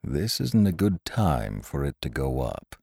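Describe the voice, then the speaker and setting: Man acting a low-voiced, weary company boss. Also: very animated, measured pace, creaky, a little mellow, no echo, studio quality, full character voice. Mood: restrained. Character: weary, melancholy middle-aged male company president.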